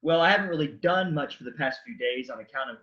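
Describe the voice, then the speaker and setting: A man acting a sarcastic, reluctant man just raised from the dead, deadpan, in a staged reading of a comic play. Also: mid-range voice, quick pace, muffled recording, lightly acted. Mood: sardonic, sullen.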